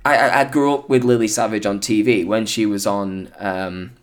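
A hoarse British man hosting a podcast, chatting casually and rambling with plenty of fillers and restarts. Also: on the low side, fairly even intonation, moderate pace. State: hoarse, recovering from illness. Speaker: podcast host.

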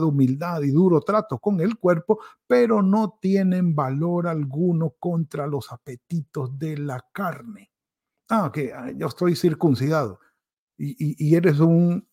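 A male pastor gives a devotional sermon at an unhurried pace. His voice is gravelly and a little husky, with a mellow, darker tone. He self-corrects mid-phrase and slips into quick quoted asides to mimic people's excuses. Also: a little high. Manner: pauses and restarts, self-corrects.

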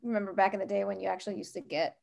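A woman's voice: mid-range voice, little pitch movement, racing, gravelly, darkish tone. A middle-aged American woman from the West Coast chatting as a podcast guest, informally telling anecdotes with conversational asides. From United States, West Coast. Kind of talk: casual anecdotal chat.

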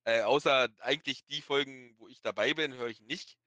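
A man with a slight lisp, chatting informally and haltingly as a podcast guest. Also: medium pitch, pushed and bright, no echo. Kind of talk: casual podcast chat.

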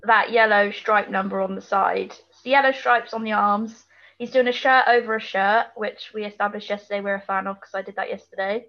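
A woman's voice: medium pitch, steady tone, fairly quick, highs cut off. A British woman chatting casually and colloquially as a podcast co-host, full of opinions while recapping television. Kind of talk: casual chat, TV episode recap.

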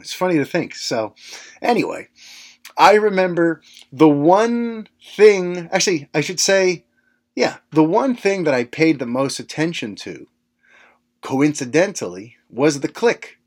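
A man in his late thirties with a slightly high voice talks through a solo podcast episode. He is reminiscing and unscripted, drifting from thought to thought and dropping in casual asides as he goes. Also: animated, measured pace. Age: late 30s. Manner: conversational and nostalgic, with frequent "you know" asides. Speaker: podcast host. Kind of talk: casual reflective monologue.

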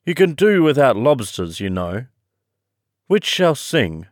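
A man's voice: medium pitch, sing-song, takes it slowly, no echo, studio quality, voice pushed up. Storybook creature role: weepy, emphatic sea turtle, voice raised well up male reader acting it out. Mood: eager. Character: weepy, melancholy old male sea turtle, excitable at times. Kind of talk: storybook character reading.

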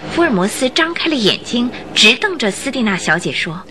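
A woman's voice: fairly high pitch, very animated, a little fast, over music. A woman narrating a radio drama as scripted, dramatic storytelling.

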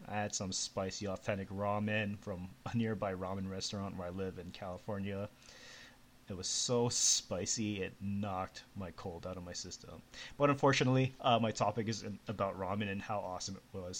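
A man's voice: lowish voice, a little fast. A man who grew up in Canada, hosting a live educational show from his home computer in a casual, chatty way with small laughs and fillers. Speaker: podcast host. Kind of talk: casual live radio chat.

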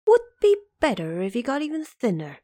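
A woman's voice: on the high side, huge pitch swings, a little fast, dark tone, dry recording, clean studio sound, voice pushed up. A woman voicing a cocky, impatient male Monkey King who boasts and demands, in an audio drama. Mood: pleased, musing.

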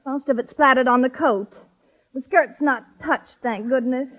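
An actress playing a prissy, catty rival schoolteacher with a haughty, precise manner in a 1950s radio sitcom. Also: female voice, sits high, normal speed, fairly bright tone, muffled recording. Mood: indignant. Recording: old radio show before audience.

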